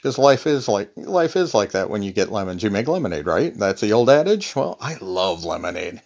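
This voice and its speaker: A man's voice: fairly low pitch, sing-song, normal speed, a little mellow. A man hosting his own podcast, talking alone in a rambling, wry, self-deprecating way. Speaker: podcast host. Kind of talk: casual solo monologue.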